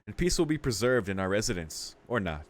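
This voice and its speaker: Man, lowish pitch, let's play host, visual novel reading, casual amused delivery. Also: very animated, fairly quick. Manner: amused, sweary, informal asides. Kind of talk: visual novel read-aloud with casual commentary.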